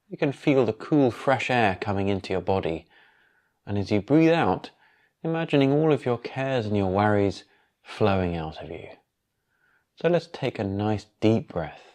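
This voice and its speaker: A man guiding a yoga nidra meditation in a calm, unhurried, instructional manner with long pauses. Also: lowish voice. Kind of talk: guided meditation.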